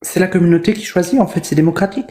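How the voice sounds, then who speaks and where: A woman's voice: lowish voice, rapid-fire, dark tone. A woman debating in a casual online discussion, hesitant and full of fillers and restarts. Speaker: debate guest.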